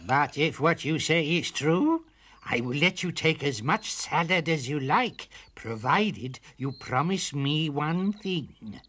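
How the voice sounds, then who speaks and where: A man's voice: a little high, expressive, slow pace, pushed and bright, slight background noise, pitched above the performer's own voice, heavily acted. A man voicing a horrible, haughty female witch in a storybook, sneering and insulting with menace. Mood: scornful, bargaining. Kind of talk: storybook character voice.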